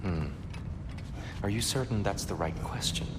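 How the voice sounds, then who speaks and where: A man playing a calm, grave, thoughtful mentor figure in a dramatic video game cutscene, speaking in a measured, questioning way. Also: lowish voice, very noisy, lightly acted. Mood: probing.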